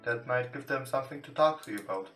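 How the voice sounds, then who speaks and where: Bright-voiced man, non-native English, roomy sound. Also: mid-range voice, lively intonation, quick pace. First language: not English. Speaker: let's play host. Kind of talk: reading visual novel text aloud. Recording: roomy echo, distant from mic. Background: soft guitar game music.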